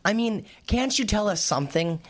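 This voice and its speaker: An actor playing an earnest, skeptical teenage high school boy in an audio drama, polite but challenging. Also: expressive, moderate pace. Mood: curious, skeptical.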